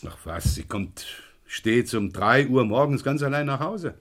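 An actor playing a middle-aged police inspector in a German radio crime drama, gruff, probing and impatient. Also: male voice, medium pitch, expressive, measured pace, a bit bright. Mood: brisk, impatient. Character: brisk, probing French police inspector, the boss.

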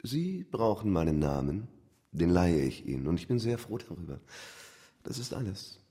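An actor playing an indifferent, self-serving marquis in a German radio drama, languid and dismissive. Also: male voice, sits low, big pitch swings, normal speed, mellow.

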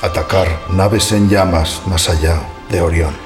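An actor playing a solemn, melancholy dying android in radio theatre, grave and dramatic in delivery. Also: male voice, low-pitched, fairly even intonation, a little slow. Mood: solemn, mournful. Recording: music clearly behind the voice.

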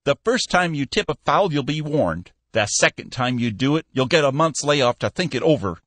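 Man doing cunning old business magnate, purring and sly, high lively voice, dry sound. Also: moderate pace, no echo. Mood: sly amiable. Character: shrewd, meddling old male shipping boss.

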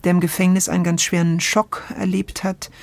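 A woman co-hosting a podcast, discussing radio drama reflectively in expressive, searching phrases with drawn-out emphasis. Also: on the low side, creaky, no echo. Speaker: podcast co-host.

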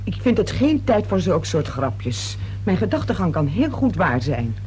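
A nervous woman being questioned in a radio drama. Her mellow voice rises and dips restlessly as she hesitates, then protests and insists. A light hiss and hum sit under the recording. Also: lowish voice, big pitch swings, unhurried. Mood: insistent, uneasy. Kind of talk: radio play acting.

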